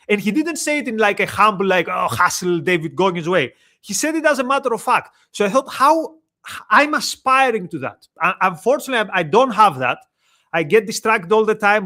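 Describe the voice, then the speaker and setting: Greek guy co-hosting, high voice, casual storytelling, kinda halting and repeats himself. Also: pushed and bright. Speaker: show co-host.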